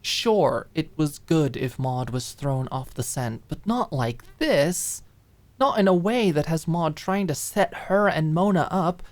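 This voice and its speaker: Female narrator for ASMR drama audiobook: scripted fiction, expressive and deliberate delivery. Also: low voice.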